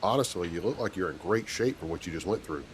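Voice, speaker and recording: Commanding space captain, deep voice, measured, dry, authoritative, video game dialogue, background noise. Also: male voice, expressive. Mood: reassuring. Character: steady, commanding male starship captain, dryly skeptical, protective.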